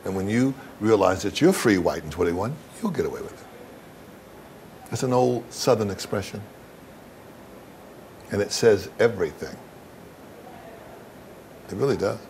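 A low-pitched man answers interview questions candidly and bluntly. He leans on his words with emphasis and repeats phrases to drive them home. There is some background noise under the voice. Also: expressive, unhurried, long pauses, a little mellow.

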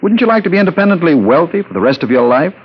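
An actor playing a cold, commanding crook who coolly coaxes and menaces in an old-time radio drama. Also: male voice, on the high side, very animated, very fast, slightly rough, low-quality recording. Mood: smug, coaxing. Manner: domineering, coolly coaxing. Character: cold, commanding, menacing male crook, smooth-talking boss.

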